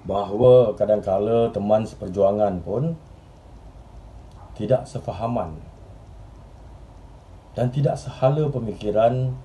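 A man acting an earnest, restless journalist in a dramatic stage monologue, speaking in deliberate literary phrases with pauses. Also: lowish voice, dark tone, slight background noise, natural voice. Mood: earnest, admiring. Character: earnest, restless male journalist reminiscing about his admired mentor. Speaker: stage actor. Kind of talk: theatrical monologue in Malay drama.

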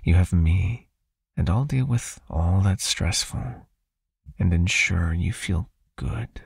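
A man voicing a possessive, soothing vampire hypnotist in an ASMR roleplay, with a hushed, coaxing manner and a raspy, creaky, breathy voice. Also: sits low, takes it slowly, dry recording, clean studio sound, full character voice. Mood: soothing, commanding. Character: possessive soothing male vampire hypnotist, controlling yet caring.

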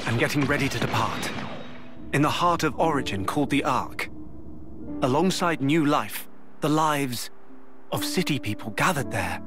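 A man playing a solemn warrior-sage in a video game cutscene, speaking formally and with measured gravity. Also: medium pitch, natural voice. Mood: grave. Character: solemn, measured male sage-warrior explaining lost worlds.

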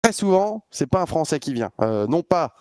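A man's voice: a little high, very animated, moderate pace, a little mellow, clean tone, no room sound, studio quality. A man casting an esports match, chatting casually with many fillers and restarts while he interviews a player. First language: French. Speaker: esports caster.

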